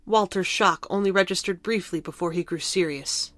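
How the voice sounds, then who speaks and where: A woman narrates a scripted fantasy story in a fairly low voice. The recording is dry, with faint music underneath. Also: moderate pace, fairly bright tone, no room sound.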